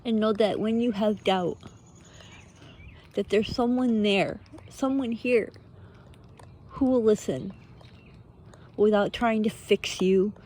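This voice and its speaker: A woman giving an earnest, unscripted spiritual pep talk in short, halting phrases, often posing rhetorical questions. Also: medium pitch, a little mellow. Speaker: motivational speaker, vlogger.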